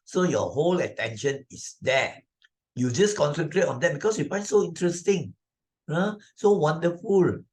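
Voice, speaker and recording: A Malaysian man speaks as a storytelling teacher, with a very lively pitch that rises and falls widely. He explains as he narrates for young listeners, conversationally, repeating himself and checking in with short tag questions. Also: creaky. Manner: conversational, repetitive, with tag questions like "right?" and "lah".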